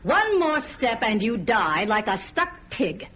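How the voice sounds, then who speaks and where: A woman's voice: a little high, very animated, takes it slowly. An actress playing a fierce, bold swordswoman, threatening and theatrical with archaic speech, in a fantasy radio drama. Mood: menacing. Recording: dull, noisy radio recording.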